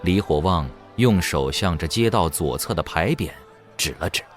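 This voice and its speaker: Male audio drama narrator, lowish register, animated intonation, light music underneath. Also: normal speed. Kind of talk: scripted dramatic story narration.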